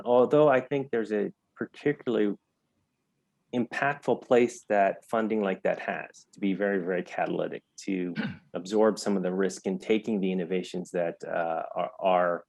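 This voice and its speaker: An American man giving thoughtful interview answers in a measured, explanatory way, stressing key words. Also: low voice, gravelly, darkish tone, no echo. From United States. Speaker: interview guest, health entrepreneur.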